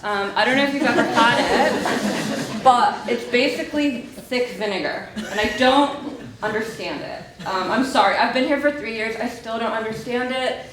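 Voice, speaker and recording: A woman's voice: mid-range voice, fairly bright tone, slight background noise. A young woman from Mexico with an American-sounding accent, giving a chatty, self-deprecating conference talk. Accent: American-sounding English. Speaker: conference speaker, PhD researcher. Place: conference hall. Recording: echoey hall, distant mic.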